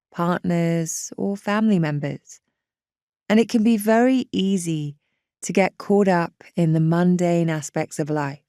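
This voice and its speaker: Slow, mellow woman guiding a meditation. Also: lowish voice, clean tone, no room sound, studio quality. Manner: gentle, reassuring, unhurried. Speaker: meditation guide. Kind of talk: guided meditation narration.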